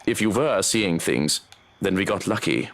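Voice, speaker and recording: A man's voice: low voice, expressive, creaky, a bit bright, pitched below the performer's own voice, exaggerated voice. Man playing a composed, formal security man. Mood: measured, tactful. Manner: measured, formal, matter-of-fact. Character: composed, dutiful male security man, cautious and tactful.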